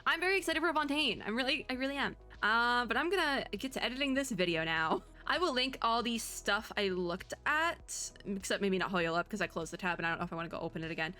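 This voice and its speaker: Female gaming reactor, bright tone, casual and excitable, giggly rambling delivery over faint music. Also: a little high, fast, hardly any pauses. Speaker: gaming vlogger reacting to game trailers. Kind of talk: casual reaction commentary to viewers.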